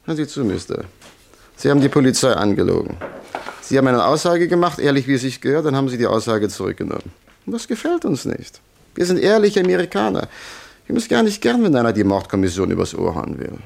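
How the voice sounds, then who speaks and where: Creaky, taunting gangster. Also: male voice, medium pitch, big pitch swings, fast talker, a little mellow, a little hiss or hum. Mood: menacing, taunting. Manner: taunting, mock-polite, threatening. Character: menacing, mocking gangster henchman leader threatening a shopkeeper. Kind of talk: German crime radio play acting.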